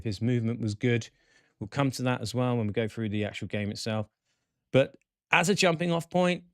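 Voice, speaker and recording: A man hosting a football fan show, reading out match stats and player ratings with chatty asides in a croaky voice. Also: medium pitch, no echo, studio quality. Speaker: football podcast host. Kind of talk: casual fan-show commentary.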